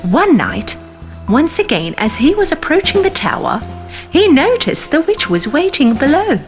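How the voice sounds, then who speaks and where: Lively, expressive woman storyteller. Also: sits high, normal speed. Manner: expressive, sing-song storytelling. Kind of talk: scripted bedtime story narration. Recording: narration over music.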